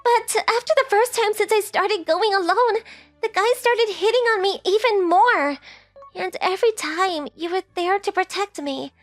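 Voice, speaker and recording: An actress playing a sweet, lovestruck high school girl, earnest and affectionate, in an anime-style manga dub. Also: moderate pace, dry recording, faint music behind. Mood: sincere.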